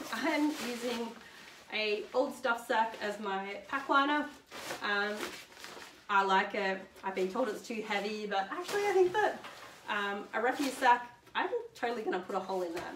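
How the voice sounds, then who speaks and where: Chatty woman, roomy distant mic. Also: mid-range voice. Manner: chatty, upbeat, many "um"s, holds up items while talking. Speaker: hiker presenting her gear list. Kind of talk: casual gear walkthrough. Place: living room at home, indoors. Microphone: camera standing a few metres away. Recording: roomy, distant camera mic, faint hiss.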